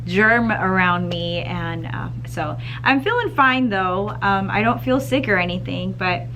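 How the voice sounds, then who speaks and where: A second grade teacher with a slightly scratchy voice, chatting upbeat to camera in a school classroom, clearing her throat now and then. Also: mid-range voice, lively intonation, measured pace, bright, forceful tone. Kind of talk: casual vlog chat to camera.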